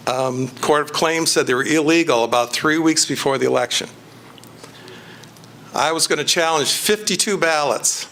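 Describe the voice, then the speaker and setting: A man's voice: on the high side, fairly bright tone. Blunt man. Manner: blunt, direct, matter-of-fact. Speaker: former county commissioner candidate, poll challenger. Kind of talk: casual talk to a meeting.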